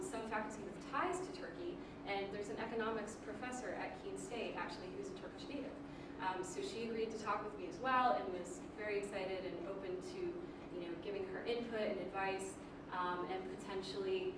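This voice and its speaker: A young woman giving an academic presentation as a graduate student, earnest and full of ums, with light laughs. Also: mid-range voice. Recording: echoey room recorded from far off with noise.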